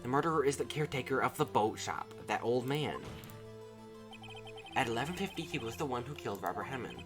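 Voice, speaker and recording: A game streamer voicing a young male defense lawyer in a video game, earnest, halting and bluffing his way through. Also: mid-range voice, lively intonation, over music, natural voice. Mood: tentative, insistent. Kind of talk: visual novel game read-aloud.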